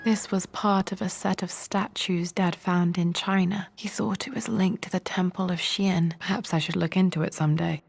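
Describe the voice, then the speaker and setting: A woman's voice: lowish voice, fairly even intonation, moderate pace, vocal fry, few pauses. An actress playing a curious, pensive young English adventurer, murmuring her thoughts aloud in a game. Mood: moved, pensive. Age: young adult. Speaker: voice actor. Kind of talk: game character thinking aloud. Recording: prominent music behind the voice. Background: music.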